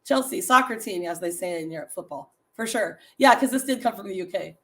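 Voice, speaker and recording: Raspy-voiced woman hosting a chatty live stream. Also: medium pitch, expressive, fairly quick, a bit bright. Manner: chatty, laughs often, reads facts and stories to viewers. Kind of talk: casual chatty live stream, reading trivia aloud.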